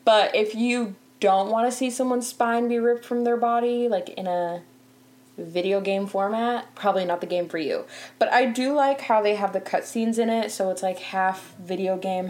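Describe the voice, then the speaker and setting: A woman's voice: mid-range voice, normal speed, a little mellow. A young woman from Canada, hosting a show in casual chat, talking freely with lots of fillers and laughter. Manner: chatty, laughs often, many "like" fillers. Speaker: podcast host.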